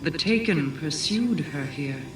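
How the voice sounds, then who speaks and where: A guarded, calculating queen delivers a solemn video game monologue. Her words are formal and commanding, echoing as if from a distance over clear music. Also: deep voice, animated, unhurried.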